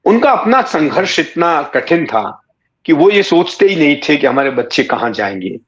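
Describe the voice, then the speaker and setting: In an echoey, slightly dull recording, a man. His voice sits rather high, and he tells his recollections courteously, hesitating and pausing as he goes. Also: big pitch swings. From Rajasthan, India. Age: 60s. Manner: measured, hesitant, pausing mid-sentence, courteous. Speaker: interview guest, writer and former cricket commentator. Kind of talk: reflective conversational storytelling.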